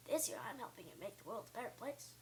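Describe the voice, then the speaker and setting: A woman's voice: fairly high pitch, expressive, quick pace, a little mellow, a little hiss or hum, voice pushed up, close to an everyday voice. A woman voicing an earnest, selfless young child reciting a scripted Christmas wish. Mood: earnest, hopeful.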